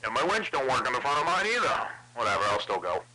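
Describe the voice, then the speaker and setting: A man's voice: medium pitch, sing-song, fast talker, over a noisy crowd. A man in a casual CB radio conversation, rambling along and swearing heavily. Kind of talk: casual chat over CB radio. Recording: crackly CB radio with clicks.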